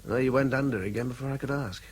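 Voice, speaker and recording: An actor playing an exhausted, anxious English army officer in a radio drama, urgent and halting in his speech. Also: medium pitch, breathy edge, lightly acted. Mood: weary, grave. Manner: halting, repeats himself under strain. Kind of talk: British radio drama acting.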